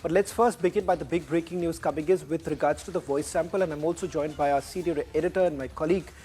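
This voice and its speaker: A man's voice: on the high side, steady tone, fast talker, mellow. A man with an Indian accent anchoring live breaking news on television. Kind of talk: live breaking-news presenting with handover to colleague.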